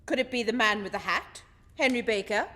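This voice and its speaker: A woman playing an earnest, inquisitive gentleman sidekick in a radio play, exclamatory and old-fashioned in manner. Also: on the high side, brisk. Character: earnest, excitable gentleman doctor, detective's curious sidekick. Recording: echoing hall-like reverb.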